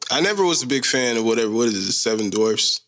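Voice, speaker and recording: A man's voice: medium pitch, animated, fast, fairly bright tone. A man in his thirties hosting a solo podcast, talking in a loose, rambling, slangy and joking way. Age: 30s. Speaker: podcast host. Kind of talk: casual solo podcast chat.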